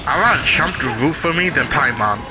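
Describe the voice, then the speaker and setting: A man's voice: on the high side, expressive, fairly quick, loud music behind the voice, rough recording. A man livestreaming a game with casual, offhand and blunt commentary. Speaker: game live streamer.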